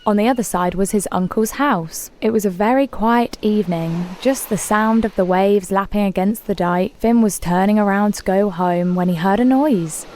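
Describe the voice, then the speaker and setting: A woman's voice: medium pitch, moderate pace, few pauses, a little mellow. A woman reading a children's story aloud as a scripted narration.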